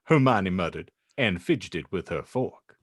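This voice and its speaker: A man's voice: low-pitched, lively intonation, measured pace, no echo, clean studio sound. A man hosting a comedy podcast and narrating, with a mock-solemn, wry, theatrical delivery. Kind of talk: comedic banter and dramatic story reading.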